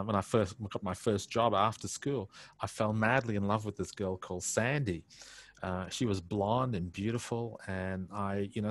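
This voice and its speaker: A man's voice: on the low side, a bit bright, no echo. A man in his fifties from India with an Australian accent, telling his life story candidly over a headset on a video call from his home study, reflective and full of restarts. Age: 50s. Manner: reflective, frequent restarts and filler phrases. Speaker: interview guest.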